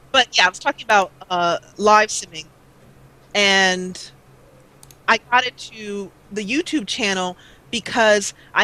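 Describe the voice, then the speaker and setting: A woman's voice: mid-range voice, pushed and bright, rough recording. A woman in her forties, a guest let's player chatting casually in an interview, rambling with many fillers on an old taped-up mic. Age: 40s. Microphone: old duct-taped mic that cuts out.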